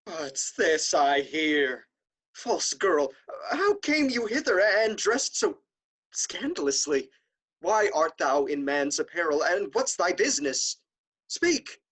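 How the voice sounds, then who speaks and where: A man's voice: on the high side, very animated, takes it slowly, fairly bright tone, slightly rough, exaggerated voice. An actor playing a stern, honor-obsessed nobleman brother in a classical stage comedy, declaiming in a haughty, indignant way. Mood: outraged.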